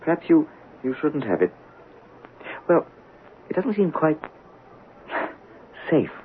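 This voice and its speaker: An actor playing a soft-spoken writer in an old radio drama, polite and prone to stammering. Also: male voice, fairly high pitch, sing-song, slow pace, phone-quality sound. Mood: polite, hesitant. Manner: hesitant, stammering and apologetic. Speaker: Robin Graves, a writer. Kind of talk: 1970s radio drama acting. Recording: old radio broadcast, phone-thin with hiss.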